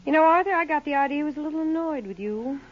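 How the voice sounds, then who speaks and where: A woman's voice: sits high, animated, rapid-fire. A woman playing a cool, sly wife in a 1940s radio drama, mock-sweet and sarcastic. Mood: sweetly sarcastic. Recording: dull old radio recording.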